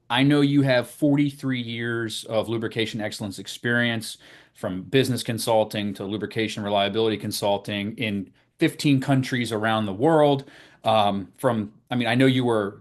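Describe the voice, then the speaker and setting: An upbeat male podcast host with a mid-range voice welcomes and prompts his guest. He speaks in an encouraging way and often doubles his words as he goes. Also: mid-range voice. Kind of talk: podcast interview.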